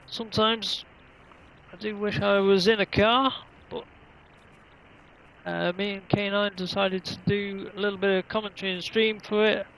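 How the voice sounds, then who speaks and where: A man's voice: high-pitched, little pitch movement, moderate pace, gravelly, a bit bright, a little hiss or hum, poor audio. A man commentating casually on an online racing livestream, rambling along in an easygoing, hesitant way.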